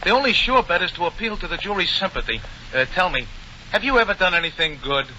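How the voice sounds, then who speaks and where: An excitable, scheming lawyer chatters in a sharp, highish voice. It is old radio drama, with a dull, muffled sound. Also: male voice, fast, heavily acted. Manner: chatty, hedging. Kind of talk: 1940s radio acting. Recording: dull, lightly noisy vintage radio broadcast.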